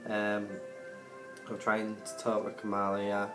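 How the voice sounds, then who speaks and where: Slow, hesitant Yorkshire man. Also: lowish voice, monotone. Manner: hesitant, lots of ums, earnest. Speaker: vlogger. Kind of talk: casual storytelling to camera. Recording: loud music playing underneath.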